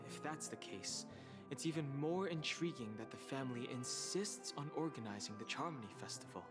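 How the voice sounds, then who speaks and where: An actor playing a calm, analytical male outsider in a video game, speaking in a measured, precise, formal way. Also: medium pitch, natural voice. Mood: wary, serious. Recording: loud music behind.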